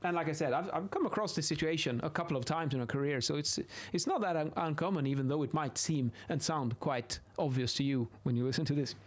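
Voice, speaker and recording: A man in his thirties with a Swedish accent gives an informal explanation in a halting way, repeating words, close to a studio microphone in a small room. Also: fairly high pitch. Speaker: video host, acoustics instructor. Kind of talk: informal explainer while sketching. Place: small indoor home office. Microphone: close boom-arm studio microphone.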